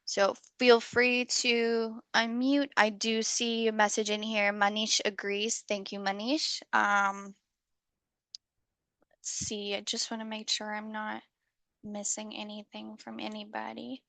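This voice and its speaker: A woman hosting an online community call, friendly and full of okays, slightly hoarse as she loses her voice. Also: mid-range voice, little pitch movement, takes it slowly, a bit bright, no echo. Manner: friendly facilitator, many okays. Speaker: meeting host. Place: video call.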